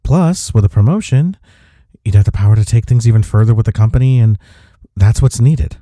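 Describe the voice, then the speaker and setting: A man playing a smitten office rival in an intimate romantic audio roleplay, with a husky, mellow voice and a halting, teasing manner. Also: lowish voice, no echo, studio quality, lightly acted. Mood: earnest, defensive. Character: flustered, smitten male office rival confessing attraction.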